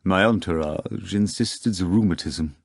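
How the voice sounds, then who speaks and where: A man voicing a gruff, commanding devil who speaks tersely and wryly. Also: sits low, a little slow, no room sound, clean studio sound, heavily acted. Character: commanding, weary devil, old male master of a ball.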